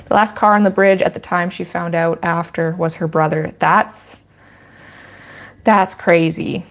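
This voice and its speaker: A woman's voice: on the low side, steady tone, measured pace. A woman with a Canadian accent who hosts a channel about her goat farm, chatting in a rambling, teacherly way during a livestream Q&A. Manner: rambling with frequent restarts. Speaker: goat farmer and channel host. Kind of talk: casual livestream chat and Q&A.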